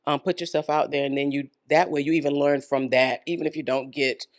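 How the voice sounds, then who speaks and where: A woman's voice: low voice, steady tone, a little fast. Earnest woman in her fifties. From Florida, United States. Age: 50s. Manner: earnest and encouraging, with soft laughs and hesitant ums. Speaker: podcast guest. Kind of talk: casual interview storytelling.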